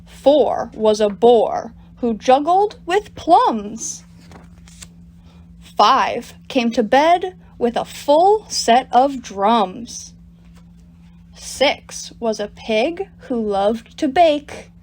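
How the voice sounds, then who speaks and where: A woman reading picture books aloud to children as a storytime reader, in an animated, deliberate storytelling manner. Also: on the high side. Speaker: storytime reader at a public library. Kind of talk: expressive picture-book read-aloud for children.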